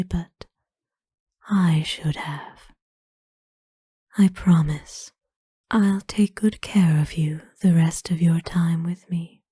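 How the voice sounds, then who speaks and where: Woman playing a slow, whispering, gentle vampire mistress. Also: fairly low pitch, breathy edge, dry recording, studio quality, full character voice. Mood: apologetic, tender. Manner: gentle, formal, reassuring, soothing. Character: kind, doting female vampire owner of a human. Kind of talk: whispered ASMR roleplay.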